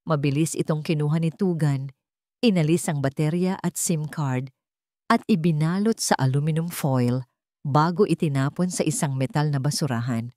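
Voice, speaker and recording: A woman's voice: sits low, moderate pace, darkish tone, no room sound, studio quality. A woman with a Filipino accent reading a scripted, dramatic story as its narrator.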